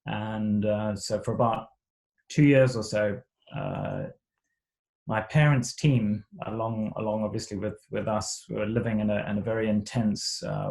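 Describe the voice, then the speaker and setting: A middle-aged man from Zimbabwe, raised speaking Shona and English, telling his life story as an interview guest in a halting way, with many fillers and restarts. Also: fairly low pitch, gravelly, a little mellow, low-quality recording. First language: Shona alongside English.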